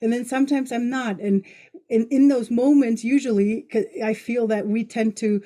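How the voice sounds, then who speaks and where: A woman hosting a podcast in casual conversation, with informal, halting phrasing as she rewords herself. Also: fairly high pitch, steady tone, warm tone. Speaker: podcast host.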